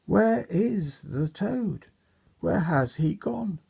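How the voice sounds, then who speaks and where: Storybook mob of greedy cooks, excited and eager, husky and dark, voiced by the reader. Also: mid-range voice, very slow, exaggerated voice.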